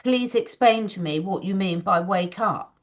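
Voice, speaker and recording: A woman's voice: medium pitch, a little slow. A woman co-hosting a casual chat, talking earnestly in long, emphatic runs. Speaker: co-host. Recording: slightly dull lo-fi audio.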